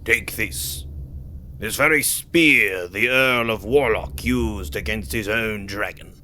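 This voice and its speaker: A man's voice: on the low side, slow pace, pushed and bright, slight background noise, full character voice. A man voice acting dramatic characters in a comic dub. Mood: solemn. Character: members of a secretive order and gruff demon hero Hellboy.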